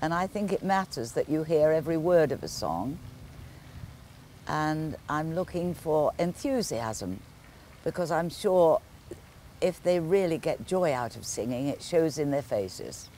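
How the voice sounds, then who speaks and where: An older woman with a deep voice and careful, clear enunciation, answering questions as a talent show judge. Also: expressive, measured pace, dark tone, slight background noise. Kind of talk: TV interview answers.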